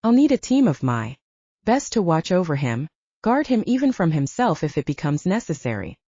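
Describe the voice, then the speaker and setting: A woman reader plays a composed male leader in an audiobook fantasy. He speaks formally and with authority, in a soft dark tone that moves freely in pitch. The recording is dry and slightly dull. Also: sing-song, normal speed, husky, no room sound. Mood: earnest, commanding.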